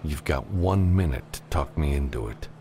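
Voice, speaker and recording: A man voicing a gruff, wary freelance spaceship captain who speaks bluntly and sternly in an audiobook. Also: very deep voice, normal speed, dark tone, faint music behind. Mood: curt.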